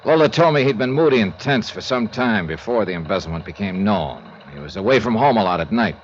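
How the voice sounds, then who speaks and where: A low, expressive American private investigator, played by a man in old-time radio noir style. He talks in clipped, world-weary phrases but has some sympathy in him. The sound is a dull vintage radio recording. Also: brisk, a little hiss or hum, lightly acted. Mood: matter-of-fact, musing. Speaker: lead detective and narrator. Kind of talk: 1950s American radio drama acting.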